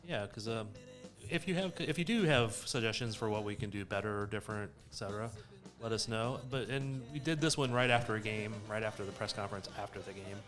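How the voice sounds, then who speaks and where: A man's voice: fairly low pitch, fairly quick, fairly bright tone, soft background music. A man co-hosting a soccer podcast in casual discussion, explaining his points with emphasis. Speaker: sports podcast co-host. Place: recorded in person in a shared room.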